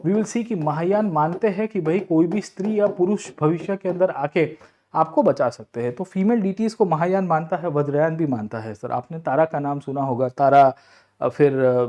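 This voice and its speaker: A man's voice: on the high side, moderate pace, dark tone. An Indian man lecturing as an exam coaching teacher, explaining questions step by step in a conversational way with frequent check-in tags. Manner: explanatory, addresses listeners as sir and brother, checks in with tag words. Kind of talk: lecture-style exam question analysis, Hindi mixed with English.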